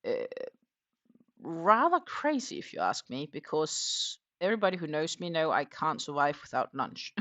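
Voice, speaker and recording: A woman's voice: low-pitched, sing-song, normal speed, pushed and bright. Chatty woman rambling with ums. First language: not German. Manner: chatty, rambling, frequent um fillers. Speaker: crochet channel host. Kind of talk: casual livestream chat while crocheting.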